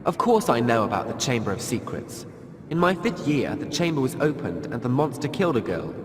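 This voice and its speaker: Guy voicing a posh-formal british teen prefect, self-assured, big pitch swings, game character. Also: big pitch swings, brisk, big-room sound, a little hiss or hum, natural voice. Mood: smooth, inviting. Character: cool, self-assured, authoritative teenage male schoolboy prefect. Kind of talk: video game character acting.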